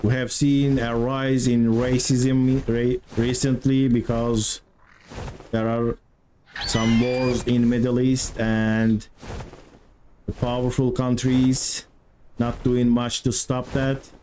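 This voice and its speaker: A man with a heavy Turkish accent talks slowly and evenly, his tone staying flat and mellow. He chats with his viewers while he plays, his English halting as he searches for words. Faint noise hums underneath. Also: medium pitch. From Turkey. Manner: chatty, answers viewers, halting non-native English. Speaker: game streamer. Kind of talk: live stream chat while playing.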